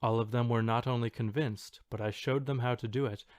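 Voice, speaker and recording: A man acts out an earnest forum poster who is sure he has a secret power. He states his claims in a matter-of-fact, insistent way at a middling male pitch. The recording is close and dry, like a podcast reading. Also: steady tone, brisk, no echo, lightly acted. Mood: earnest, confident. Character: self-assured forum poster boasting of telekinetic powers. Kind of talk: dramatic reading of an online post.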